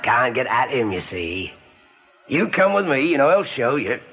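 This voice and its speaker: A man's voice: medium pitch, sing-song, measured pace, fairly bright tone, soft background music. An actor playing a sinister country man from a nightmare, taunting and folksy in manner, for a radio play. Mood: sly, taunting. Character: sinister rustic farm man in a rabbit's nightmare.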